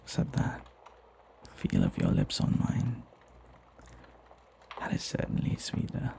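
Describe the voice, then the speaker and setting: A man playing a devoted, flirty butler in an intimate ASMR roleplay, tender and hesitant, often sinking into a breathy whisper. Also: a bass voice, wildly swooping pitch, takes it slowly, mellow, slight background noise, exaggerated voice. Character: flirty lovestruck male butler, devoted and deferential.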